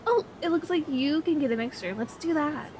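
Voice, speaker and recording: Woman acting as a high-voiced, girlish best friend. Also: brisk, darkish tone, a little hiss or hum, natural voice. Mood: playful, chatty. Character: excitable young woman on an outing with her best friend, sweet and easily discouraged. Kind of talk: ASMR roleplay audio drama addressed to the listener.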